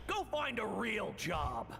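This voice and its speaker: A man playing a harsh, scornful angry father in a video game nightmare, with a gravelly voice in echoing reverb. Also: high voice, sing-song, takes it slowly, bright, forceful tone, full character voice. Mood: scornful, furious. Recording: long echoing reverb, faint music.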